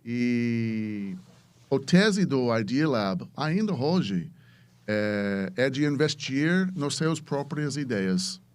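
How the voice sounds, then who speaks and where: A man's voice: mid-range voice, expressive, drawn-out words, smooth. An American man, a native English speaker, talking about his experience in Portuguese as a guest. He is hesitant, searching for words and restarting often. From United States. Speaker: guest, venture capitalist. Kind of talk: casual podcast interview.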